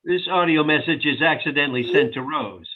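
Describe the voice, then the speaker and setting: A man reading stage directions at a sitcom table read, scripted narration in a husky voice over a thin online connection. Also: on the high side, a little fast, a little hiss or hum. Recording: thin, phone-like online call audio.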